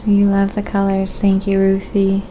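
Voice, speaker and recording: A woman hosting a casual live painting stream, talking quietly to viewers and thinking aloud step by step as she works. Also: mid-range voice, completely flat delivery, moderate pace, slight background noise, poor audio.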